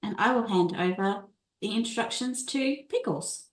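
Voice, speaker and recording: A woman's voice: mid-range voice, normal speed. A woman chatting in a playful, mischievous way as a tabletop game player. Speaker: player on a streamed tabletop RPG. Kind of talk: casual chat. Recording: echoey, roomy sound.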